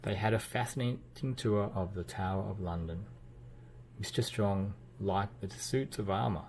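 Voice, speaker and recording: A man reading a children's storybook aloud as narrator, with expressive delivery and playful emphasis. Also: on the low side, normal speed, slight background noise. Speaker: children's book reader.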